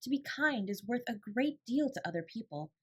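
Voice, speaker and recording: A woman voicing a bright, earnest, imaginative schoolgirl in a storybook, with emphatic, old-fashioned phrasing. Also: on the high side, animated, normal speed, slightly rough, voice pushed up. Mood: earnest, instructive.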